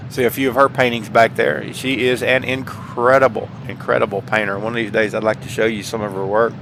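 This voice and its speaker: A man's voice: medium pitch, level tone, a little fast. Folksy man chatting, with background noise. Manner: folksy, repeats phrases for emphasis; earnest, heartfelt. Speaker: gaming channel host. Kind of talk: casual chat to viewers. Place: indoors at home, paintings behind.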